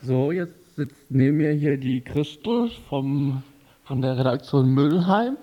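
A man's voice: medium pitch, lively intonation, takes it slowly, soft background music. A man hosting on radio, introducing things live to the listeners. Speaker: radio host. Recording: dull lo-fi radio recording.

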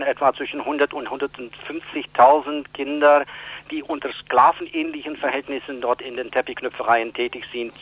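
A man answering interview questions as an aid organisation spokesman, with halting, hesitant phrasing. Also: medium pitch. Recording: dull phone-line sound, dry.

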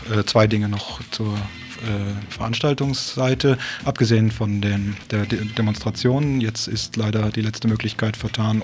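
A man with a fairly low voice hosts a live 1980s local radio programme. He speaks informally and haltingly as he interviews and gives announcements, with faint music in the recording. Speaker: radio host.

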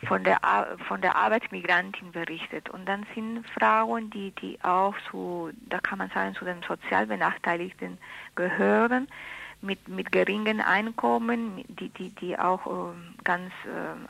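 A woman answers an interviewer in halting bursts, full of ums, repeated words and restarts. Her voice is bright and gravelly. The sound is thin and tinny, like an old radio recording. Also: medium pitch, fairly even intonation, no echo. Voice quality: halting, many fillers and restarts. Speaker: study author interviewed.

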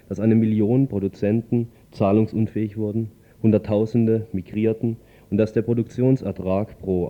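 A man's voice: on the low side, lo-fi sound. A man reading a scripted background report for radio news.

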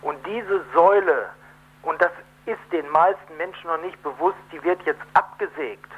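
A man interviewed live over the telephone, speaking haltingly and emphatically, rephrasing as he goes. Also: a little high, slightly rough. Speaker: protest co-organiser, interview guest. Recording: muffled telephone line on radio.

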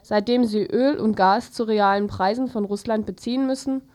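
Mellow female news reader, dry sound. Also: medium pitch, normal speed, no echo. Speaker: news presenter. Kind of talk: scripted news reading.

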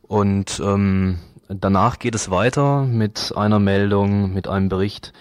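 A man's voice: fairly low pitch, fairly even intonation, measured pace, no echo, studio quality. A man presenting items on a radio news magazine.

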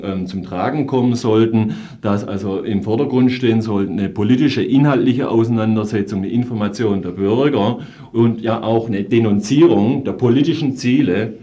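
A man in a political discussion, setting out his argument in a measured, analytical and wordy way. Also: lowish voice, mellow.

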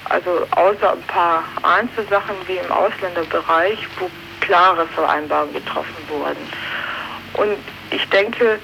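A woman politician giving spontaneous answers in a radio interview, phrasing her points in a halting, deliberate way. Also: fairly low pitch, gravelly, background noise. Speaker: politician, member of parliament being interviewed.

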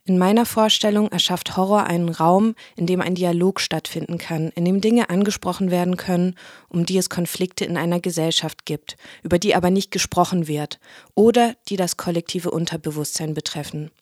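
A woman's voice: lowish voice, steady tone, fairly quick, no room sound, studio quality. A woman narrating a scripted radio feature as interviewer and presenter. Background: music.

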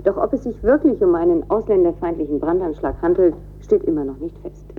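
A woman's voice: lowish voice, a little fast. A woman reading a scripted news report in a grave, formal manner, heard in a played broadcast clip. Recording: tinny, noisy, humming off-air TV clip.

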